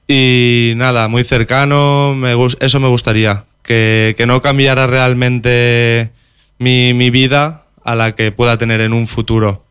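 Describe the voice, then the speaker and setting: A young man with a Valencian accent, Valencian as his first language, answers interview questions about his music. He speaks thoughtfully, often restarting and repeating phrases. His voice is smooth and clear, recorded close and dry. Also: medium pitch, little pitch movement, unhurried, a bit bright, no echo.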